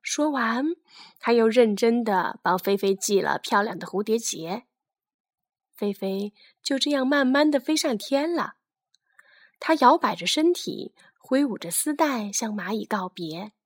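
A woman narrating a scripted children's fairy tale in an expressive storytelling manner. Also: fairly high pitch, unhurried, dry recording, studio quality.

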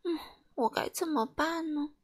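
A small, timid storybook gentleman, played by a woman, speaks in a high, hushed voice. He is meek and polite, hesitating softly over his words. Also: measured pace. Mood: dejected. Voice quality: soft, hushed.

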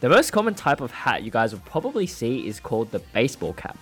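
A man co-hosting a podcast, chatting casually and explaining things in a relaxed way with lots of likes and yeahs. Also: mid-range voice, fast, slightly rough, faint music behind. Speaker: podcast co-host, English speaker. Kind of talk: casual conversational chat. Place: studio in Sydney.

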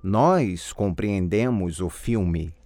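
A man reading model answers in a language course drill, slow and clearly enunciated. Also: low voice, lively intonation, background noise.

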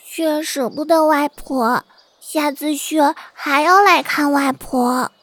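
Little grandchild: high, slow, sweet, clingy, childish period-drama delivery. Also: female voice, fairly bright tone. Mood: sad, clingy. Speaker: voice actor in an amateur audio drama. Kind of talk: Chinese period audio drama acting.

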